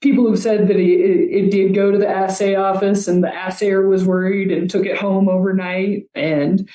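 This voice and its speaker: A woman's voice: medium pitch, level tone, normal speed, warm tone. A woman speaking as a local history expert, telling things conversationally as an interview guest. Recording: echoey room, distant from microphone.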